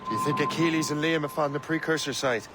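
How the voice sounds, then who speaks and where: Bold high-voiced Irish sea captain played by an actor. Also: male voice, fairly even intonation, fast, lightly acted. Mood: calm, businesslike. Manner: commanding, forceful. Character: bold young Irish sea captain turned Templar hunter. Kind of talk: dramatic video game acting. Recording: sea, wind and battle noise behind.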